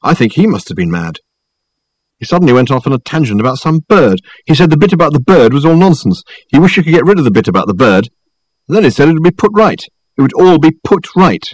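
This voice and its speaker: A man voicing a bemused young gentleman in an audiobook, hesitant and puzzled, correcting himself as he goes. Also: a little high, sing-song, fast, no room sound, poor audio. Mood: uneasy. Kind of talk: audiobook character acting.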